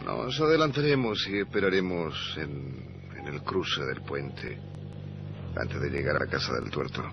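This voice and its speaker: A cold, obedient gangland henchman in a radio play. He speaks very low and deliberately, with ruthless intent, and his pitch moves widely. The old recording is noisy. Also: lively intonation, gravelly, dull audio, heavily acted. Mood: cold plotting. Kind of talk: radio theatre acting.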